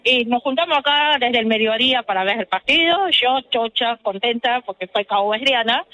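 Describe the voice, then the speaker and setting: A Cape Verdean woman in her late forties answers a radio interview in short, plain phrases. She speaks with a Cape Verdean accent and a bright tone. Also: on the high side, expressive, moderate pace, dull audio. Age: late 40s. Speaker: interviewee, fan.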